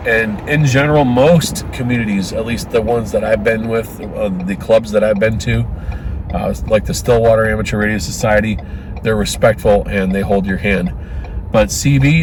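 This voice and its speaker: A man rambling casually about radio hobbies in a vlog, thinking aloud and often ending with "right?". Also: mid-range voice. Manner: earnest, thinking aloud with many "right?" tags. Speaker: ham radio vlogger. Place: in a car, heading home from a trip. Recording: loud car noise behind the voice.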